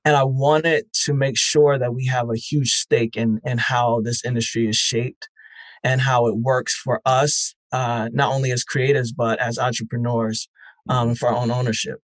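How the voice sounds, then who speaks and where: A man answers interview questions in a measured, thoughtful way, pausing often on "uh" and "um". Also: mid-range voice, level tone, no echo, rough recording. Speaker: guest, network founder. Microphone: remote call.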